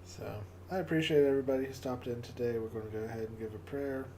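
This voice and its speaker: A man with a raspy, hoarse voice hosting a casual live stream and closing with a prayer, often stumbling and repeating words. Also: mid-range voice, quick pace, darkish tone, a little hiss or hum. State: hoarse from coughing. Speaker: livestream host.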